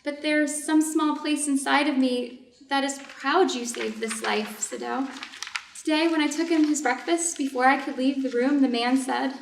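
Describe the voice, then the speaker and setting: A woman's voice: sits high, steady tone, moderate pace, creaky, a little hiss or hum. A woman playing an anxious, devoted doctor's wife in a reader's theater piece, earnest and careful in her delivery. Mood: worried, torn. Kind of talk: reader's theater stage reading. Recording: echoey room, distant mic.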